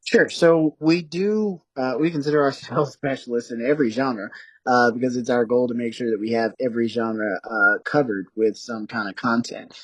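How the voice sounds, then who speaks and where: Mid-pitched man, dry close sound, explaining. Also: steady tone, moderate pace, dry recording. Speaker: interview guest, audio drama producer. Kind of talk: casual explanatory interview talk.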